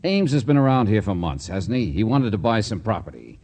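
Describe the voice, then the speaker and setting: An actor playing a brisk, hard-boiled private investigator in a vintage radio drama, blunt and probing as he questions people. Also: fairly low pitch, sing-song, a little mellow, husky, heavily acted. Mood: accusing, pressing.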